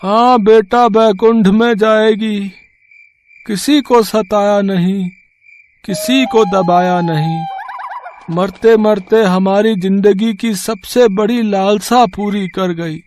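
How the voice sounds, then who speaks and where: A tipsy old father acted by a male storyteller holds forth brazenly and scornfully. The voice rides high and moves very slowly, leaning on each phrase. It sounds like a dramatized short story, with faint noise behind it. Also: fairly even intonation, darkish tone. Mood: righteous. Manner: slow, drawn-out, emphatic delivery.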